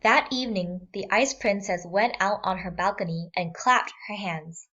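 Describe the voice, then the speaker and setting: A woman's voice: mid-range voice, moderate pace, pushed and bright, slight background noise. A woman reading a scripted fairy tale for children as a storyteller. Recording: slightly dull sound, music bed.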